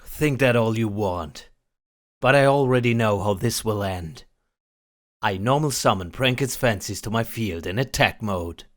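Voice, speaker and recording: A man's voice: lowish voice, unhurried, natural voice. A man playing a determined, earnest hero duelist in a fan audio drama. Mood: resolute, stern. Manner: earnest, resolute. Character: determined, brooding male hero duelist, kind to companions. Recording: music behind the voice.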